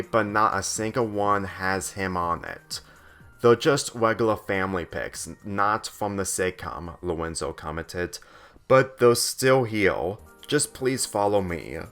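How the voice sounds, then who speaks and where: A man's voice: on the low side, fairly bright tone. A man reading a scripted story aloud, narrating and voicing the dialogue lines himself. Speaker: fan fiction reader.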